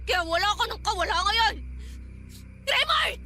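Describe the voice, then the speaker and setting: A woman's voice: extremely high pitch, animated, measured pace, sharp tone, slight background noise, heavily acted. A woman acting a frightened, defiant young woman stalked at home, in a Filipino radio drama. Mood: terrified, defiant.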